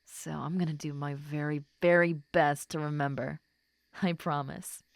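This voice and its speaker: A woman playing a tipsy, giddy, lovestruck schoolgirl in an intimate roleplay audio drama, with soft murmurs and a drawn-out, affectionate delivery. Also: sits low, steady tone, creaky, no echo. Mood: earnest, tender.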